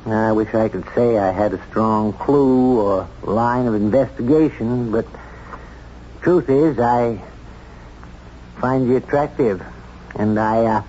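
Through the dull, slightly hissy sound of a 1970s radio drama comes a small-town American sheriff, acted by a man. He is plain-spoken and folksy, halting when he opens up, candid and unsure of himself, in a medium-pitched voice. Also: mellow, lightly acted. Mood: halting, tender.

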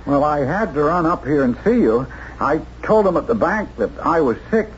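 High-voiced timid clerk. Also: male voice, sing-song, normal speed. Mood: worried. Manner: stammering, hesitant, fussy. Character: timid, fretful bank clerk fiancé, cautious and job-conscious. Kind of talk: 1970s radio drama acting. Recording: dull, noisy 1970s radio drama recording.

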